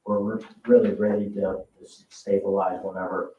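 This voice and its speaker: An American man giving an informal budget presentation at a public meeting in a halting, hesitant way, as a construction project manager. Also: deep voice, level tone. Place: indoor town council meeting room. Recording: roomy, distant, dull meeting-room audio with faint noise.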